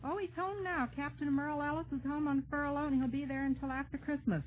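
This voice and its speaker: High-voiced American woman, tinny link. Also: fairly even intonation, fast talker, slight background noise. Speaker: serviceman's wife on a radio link. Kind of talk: affectionate casual chat. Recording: tinny, phone-like long-distance radio link.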